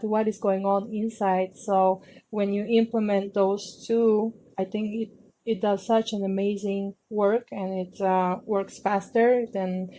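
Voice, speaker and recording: Slow, mellow woman. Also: medium pitch, rough recording. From Kazakhstan. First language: Kazakh. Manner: halting, many fillers and pauses. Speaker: guest, sound healer and musician. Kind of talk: reflective interview answers.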